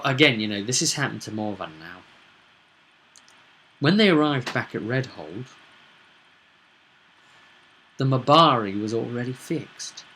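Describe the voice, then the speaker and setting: Male game master, mid-pitched voice, casual storytelling recap, halting delivery with weighty pauses. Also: fairly bright tone, a little hiss or hum, low-quality recording.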